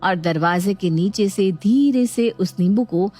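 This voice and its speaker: A woman storyteller delivers scripted narration. Her voice sits at an average pitch for a woman, telling a tale from a prepared text. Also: a little slow, mellow, soft background music.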